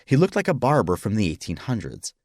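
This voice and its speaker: Male narrator for fiction audiobook: fairly deep, expressive and dramatic, recorded dry. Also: brisk, dry recording. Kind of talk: scripted story narration.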